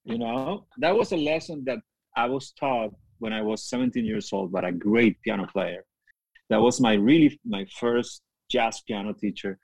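A middle-aged man, a musician whose first language is not English, telling stories in a casual interview, lively and quick to act out what people said. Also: medium pitch, dry recording, rough recording. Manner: animated storyteller, acts out quoted voices, laughs often. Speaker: guest musician, keyboard player.

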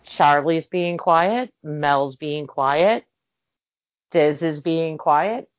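A woman's voice: sits low, no echo. A woman chatting casually with friends, joking and swearing freely. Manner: slow, drawn-out, profane banter. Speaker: group chat participant. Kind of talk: casual group chat. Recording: dull lo-fi sound.

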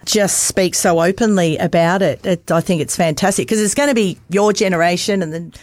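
A woman's voice: fairly low pitch, fairly quick, darkish tone, dry recording. Middle-aged Australian woman, dry close sound. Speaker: co-host interviewer. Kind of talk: casual conversational interview.

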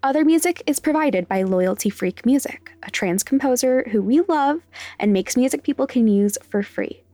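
A woman's voice: high-pitched, expressive, normal speed, warm tone, no echo. A woman reading scripted end credits as the creator of a podcast. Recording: dry studio voice over music. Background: faint music.

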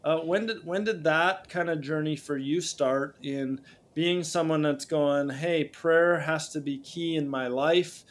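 Fairly high-voiced male podcast host. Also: steady tone, measured pace. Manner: friendly and talkative, stumbling and repeating words. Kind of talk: podcast interview.

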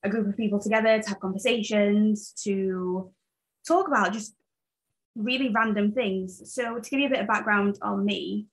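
A woman's voice: mid-range voice, fairly even intonation, normal speed, poor audio. A woman in her late twenties, born in Zambia and raised in England, hosting her own podcast in a rambling, casual way with plenty of fillers. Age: 20s. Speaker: podcast host. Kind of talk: casual solo podcast chat.

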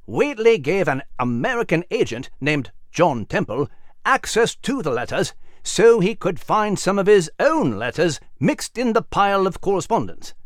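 A man voicing a refined, learned animal gentleman in a children's story, with a formal, precise manner. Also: high voice, dry recording, clean studio sound.

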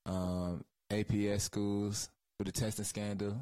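Male interview guest, Atlanta Southern accent, lowish voice, dry recording, slangy and profane rambling storyteller. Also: flat delivery, a little slow, mellow, no room sound. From Georgia, USA, Atlanta area. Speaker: guest, music creative. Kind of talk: casual interview chat.

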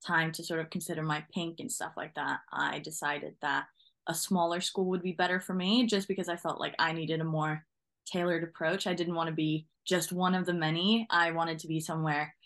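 A woman's voice: sits low, fairly even intonation, a little fast, a bit bright. A young woman from Norway, a newly qualified driving instructor, talking casually in an interview and laughing often.

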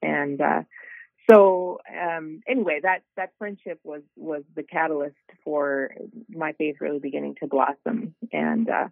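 A woman's voice: sits low, unhurried, gravelly, no echo. Woman on a tinny phone line. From South Dakota, USA. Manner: relaxed, rambling storytelling with many fillers. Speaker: guest, ministry worker. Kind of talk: casual interview over the phone.

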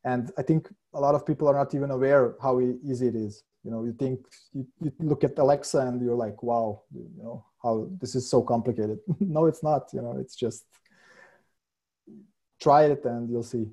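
A man whose first language is Hungarian and Serbian speaks as a developer guest on a podcast. His voice is warm and mellow, set at a middle pitch. He talks eagerly, laughs easily and often repeats words while thinking. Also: fairly even intonation, moderate pace. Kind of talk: casual interview answers.

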